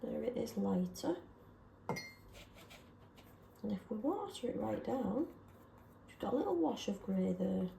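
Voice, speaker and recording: A woman chatting casually and self-deprecatingly while testing paint colours, slightly hoarse from a sore throat. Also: on the high side, very animated, moderate pace, roomy sound, background noise. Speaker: craft vlogger.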